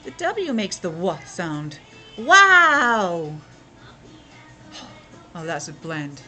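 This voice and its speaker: Woman, preschool teacher, lively melodic delivery, unhurried pace, playful questions, faint music. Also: on the low side. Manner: playful, exclamatory, asking questions and sounding out words. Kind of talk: interactive lesson for young children.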